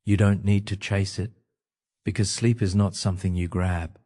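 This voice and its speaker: Low soft-toned guy doing a sleep meditation, really calm n gentle, lots of pauses. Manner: calm, gentle, soothing, with short phrases and pauses. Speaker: meditation guide.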